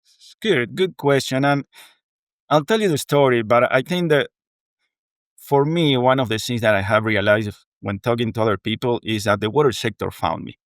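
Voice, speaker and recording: Argentine-accented man, smooth clear voice. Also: medium pitch, moderate pace, no room sound, studio quality. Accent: Argentine Spanish. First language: Spanish. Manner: earnest, reflective, frequent "you know". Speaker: guest, water technology executive. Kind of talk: conversational interview.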